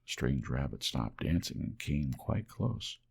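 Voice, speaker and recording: A man narrating a children's storybook in a gentle ASMR audiobook style. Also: very deep voice, big pitch swings, slow pace, gravelly, breathy edge.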